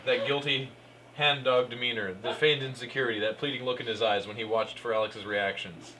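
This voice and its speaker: A man's voice: medium pitch, steady tone, a little fast, pushed and bright. A man doing a live comic read-aloud as narrator, stumbling over lines with amusement and cueing his fellow performers, in a noisy live room recording. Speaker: narrator reading fan fiction aloud.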